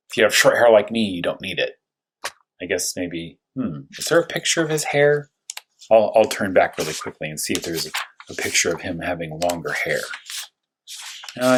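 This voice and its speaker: A man who teaches first grade, talking through a read-aloud story for young children, thinking aloud with questions and slowing to stress key words. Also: on the low side, a bit bright, breathy edge.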